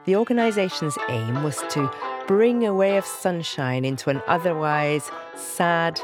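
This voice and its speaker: A woman's voice: on the low side, animated, unhurried. A woman reading scripted documentary-style narration for an audio drama. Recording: prominent guitar music behind voice. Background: music.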